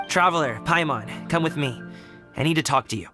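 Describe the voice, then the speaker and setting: A man's voice: on the high side, fairly quick, music in the background, close to an everyday voice. An actor playing an earnest young man at odds with his father, who sounds a little weary and sighs often. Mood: confident. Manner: earnest, a bit weary, sighing. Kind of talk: game voice acting.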